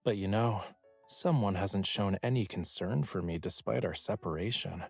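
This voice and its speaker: Low-voiced, teasing young lover. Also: male voice, moderate pace, gravelly, a little mellow, slightly rough, no room sound, full character voice. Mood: mock hurt. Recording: music behind the voice.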